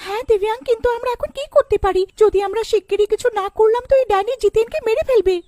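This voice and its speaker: A woman's voice: very high-pitched, quick pace, dark tone, no echo, clean studio sound, pitched above the performer's own voice. A woman voicing a worried young girl in a cartoon, speaking in an anxious, urgent manner. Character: worried young girl pleading over her friend. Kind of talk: cartoon character voice.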